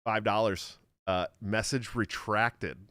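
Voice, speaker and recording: A man's voice: fairly low pitch, steady tone, takes it slowly, a bit bright, no echo, clean studio sound. A man hosting a livestream, reading donations in a laid-back, profane way. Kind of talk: casual livestream chat.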